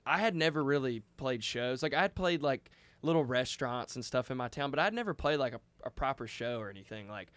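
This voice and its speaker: A man's voice: mid-range voice, animated, brisk, a bit bright, dry recording. A man in a band, telling a long story casually with lots of restarts and "like.". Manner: rambling, with many restarts and "like". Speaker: band member.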